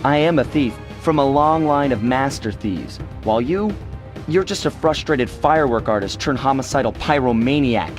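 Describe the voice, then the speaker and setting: A man's voice: mid-range voice, normal speed, soft background music, natural voice. A man voicing a cocky, suave young raccoon master thief in a cartoon video game, wry and self-assured. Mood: cocky, taunting. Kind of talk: cartoon video game acting and story narration.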